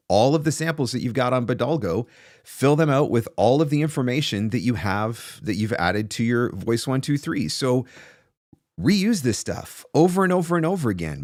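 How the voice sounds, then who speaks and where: A man's voice: on the low side, no room sound. A man in his forties hosting a casual livestream of advice, talking emphatically and repeating phrases for stress, close on a studio microphone. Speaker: host of a livestream on voiceover business. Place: small indoor home studio. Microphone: large studio microphone close in front.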